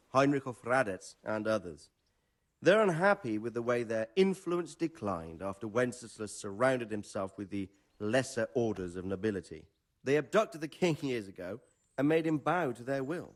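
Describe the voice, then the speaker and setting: A knowledgeable older nobleman in a medieval video game. He explains in a measured manner at a middling pitch, with a melody that rises and falls freely. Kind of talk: video game dramatic dialogue.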